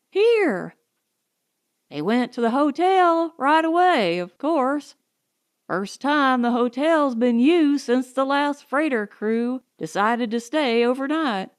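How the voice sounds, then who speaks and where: A woman voicing an eager, excitable male colonist sharing news in a story. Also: high voice, no room sound, clean studio sound.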